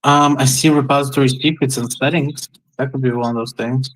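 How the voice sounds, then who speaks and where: A man's voice: medium pitch, fairly even intonation, fairly quick, low-quality recording. A man searching for an answer while he talks, hesitant and thinking aloud with many ums. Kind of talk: casual chat.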